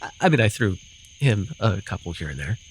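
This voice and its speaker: Man playing a low-voiced rambling fae storyteller. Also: very animated, brisk. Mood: amused storytelling. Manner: rambling, hesitant, storytelling with apologetic asides. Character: rambling, amused male forest-dwelling fae storyteller with bird companion. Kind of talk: fantasy ASMR roleplay acting. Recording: noisy with cricket and insect ambience. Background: night forest ambience with crickets and insects.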